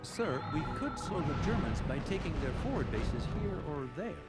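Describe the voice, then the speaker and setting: War game aide: eager reporting officer, high register, very varied pitch, music behind. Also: male voice, very animated, normal speed. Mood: alert, concerned. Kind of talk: military video game dialogue.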